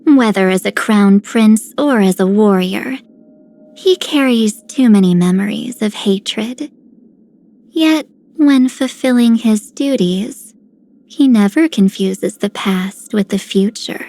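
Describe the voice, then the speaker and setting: A woman's voice: medium pitch, slow, warm tone. The formal, mid-voiced empress addressing her generals in a fantasy game. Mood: measured respect. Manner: formal, stately. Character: regal, composed female ruler, proud strategist.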